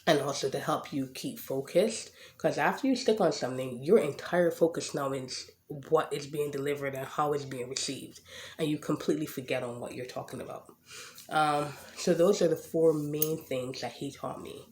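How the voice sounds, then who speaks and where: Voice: medium pitch, moderate pace, a little hiss or hum. A podcast host who stutters and has a slight lisp, talking casually about personal experience with frequent fillers and repeated words. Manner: speaks with a stutter, occasional word repetitions; frequent "um" and "right?". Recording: echoey room.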